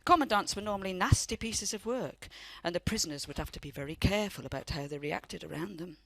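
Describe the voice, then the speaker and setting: A woman's voice: on the low side, fast talker, creaky, fairly bright tone, no echo. An actress in a radio play playing a loving, wistful elderly grandmother who tells family memories in a reflective way. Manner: reflective storytelling.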